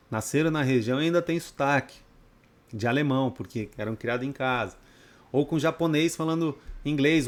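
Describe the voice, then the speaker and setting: A man from Brazil, teaching a trading course in a blunt, profane, off-the-cuff lecture full of rhetorical questions. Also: on the high side. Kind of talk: unscripted lecture to students.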